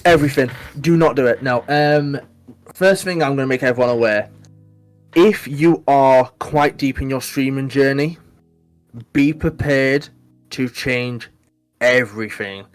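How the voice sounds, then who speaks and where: A man talks on a casual podcast, animated and emphatic. His pitch sits in an ordinary middle range. Faint music plays underneath. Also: unhurried. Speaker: podcast guest, Twitch mod.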